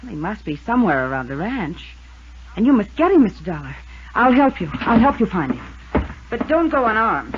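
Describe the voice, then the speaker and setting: A woman's voice: mid-range voice, very animated, normal speed, natural voice. An actress in an old-time radio drama playing a scheming, commanding ranch woman who snaps out urgent orders. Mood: urgent, persuasive. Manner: urgent, imperious, clipped orders. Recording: noisy, dull old radio recording.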